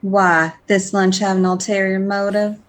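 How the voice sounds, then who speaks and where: A woman's voice: mid-range voice, level tone, unhurried, lightly acted. Woman acting a dry, bantering prison guard. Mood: wary, bantering. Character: wry, savvy female prison guard. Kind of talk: radio play dialogue.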